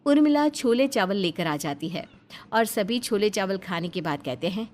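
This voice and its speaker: A woman's voice: medium pitch, animated, normal speed, a little mellow. A woman narrator reading a scripted family story.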